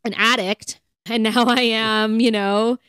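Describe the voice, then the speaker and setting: A woman's voice: on the high side, steady tone, takes it slowly, a bit bright, smooth, no echo, studio quality. A woman co-hosting a faith podcast, talking in a casual, earnest, conversational way peppered with "like". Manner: conversational, earnest, filler-heavy with frequent "like". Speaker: podcast co-host.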